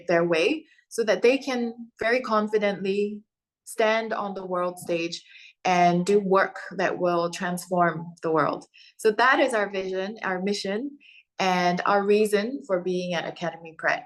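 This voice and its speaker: A woman in her forties, born in Korea and raised in Hong Kong, Thailand and Singapore, hosting an education webinar in a steady, organized way with frequent "uh" and "um" fillers. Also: mid-range voice. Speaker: webinar host and education center founder. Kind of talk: presenting a live webinar.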